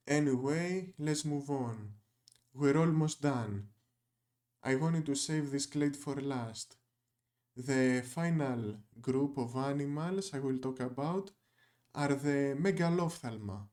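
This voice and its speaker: Young man narrating, a touch high. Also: darkish tone. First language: Greek. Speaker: video essayist. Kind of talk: scripted narration.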